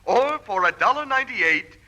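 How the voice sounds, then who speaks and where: A man's voice: high voice, lively intonation, moderate pace. An actor playing a grandiose, emphatic patent-medicine salesman in a 1940s radio commercial parody. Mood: hard selling. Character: grandiose, fast-selling male patent-medicine radio announcer. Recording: faintly noisy 1940s radio recording.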